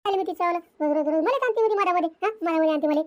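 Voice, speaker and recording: A man acts out a new bride who feels cheated, in a cartoon comedy. She whines insistently in a very high, speedy voice. The accent is rural Maharashtrian Marathi. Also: rapid-fire, dull audio. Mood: indignant.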